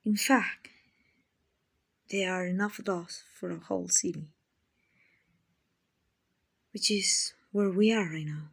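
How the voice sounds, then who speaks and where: A woman plays a gentle vampire noblewoman in an ASMR roleplay. Her voice is fairly low and husky, often sinking to a breathy whisper. She speaks very slowly, soothing and genteel, like a kind owner comforting someone. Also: exaggerated voice. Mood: patient, explaining. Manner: slow, soothing, genteel, endearing. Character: gentle, kindly female vampire, soothing rich slave-buyer.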